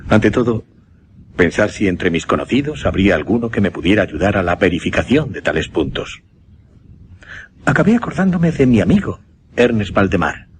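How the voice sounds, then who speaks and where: An actor playing an earnest gentleman mesmerist in a suspense radio drama, formal and deliberate. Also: on the low side, very animated, slight background noise. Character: earnest gentleman mesmerist recounting his uncanny experiment.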